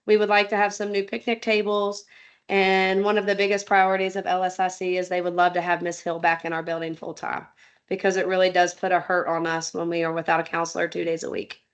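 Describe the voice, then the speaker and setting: A woman's voice: lowish voice, completely flat delivery, brisk, clean tone, dull audio. A woman presenting a school report at a board meeting, reading through names and lists steadily with frequent ums. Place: public meeting room.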